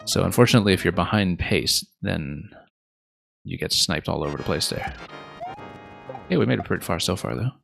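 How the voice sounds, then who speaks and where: A man's voice: low-pitched, animated, brisk, gravelly, long pauses, a bit bright. A man streaming a level-making game, chatting casually with viewers in a thoughtful way, with many fillers. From not from Japan. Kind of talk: casual live-stream chat while playing. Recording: faint game music behind.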